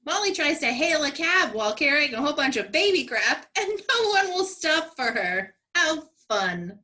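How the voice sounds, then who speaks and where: A woman's voice: high-pitched, big pitch swings, normal speed, sharp tone. A woman narrating a comedic scripted radio play with sassy, dramatic delivery.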